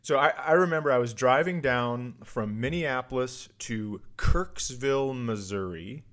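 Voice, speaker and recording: A man's voice: medium pitch, animated, measured pace, fairly bright tone. A man hosting a comedy podcast, bantering casually and telling self-deprecating, profane stories.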